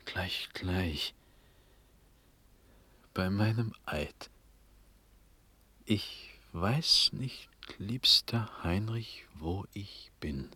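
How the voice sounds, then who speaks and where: An actor playing a dazed, dreamy young Prussian prince in a verse radio drama, fervent and halting, with breathy speech drifting into whispers. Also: male voice, sits low, sharp tone, dry recording, exaggerated voice. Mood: dazed, groggy. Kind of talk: classical German stage verse radio drama.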